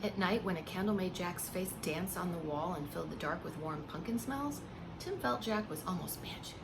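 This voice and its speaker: A woman reading a picture book aloud like a preschool teacher, with expressive, hushed emphasis and simple asides to small children. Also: fairly low pitch, normal speed, vocal fry, background noise. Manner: simple explanations and questions addressed to small children. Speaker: pre-K teacher.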